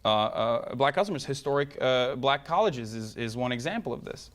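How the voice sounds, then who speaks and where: A man with a Turkish accent, Turkish his first language, answering in a debate, halting with many fillers and restarts. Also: medium pitch, lively intonation, fairly bright tone. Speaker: debate guest.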